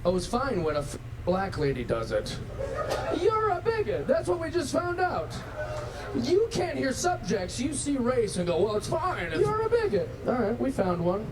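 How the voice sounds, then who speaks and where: A man's voice: extremely high pitch, huge pitch swings, moderate pace. A male stand-up comedian telling a crowd about a heckler, sarcastic and acting out the people he quotes. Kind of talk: stand-up set.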